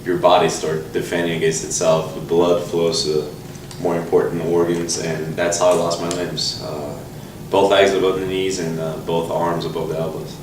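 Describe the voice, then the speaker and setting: A young man from Brazil, calmly and thoughtfully telling his own story as an interview guest. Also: deep voice, normal speed. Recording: distant, roomy with background noise.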